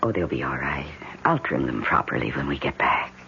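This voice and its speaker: A woman's voice: very deep voice, big pitch swings, brisk, vocal fry, pushed and bright, heavily acted. An actress playing an obsessive, tender woman who dotes on her houseplants, in an intense, cooing, theatrical 1970s radio drama style. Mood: resolved, tender. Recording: dull, noisy old radio broadcast recording.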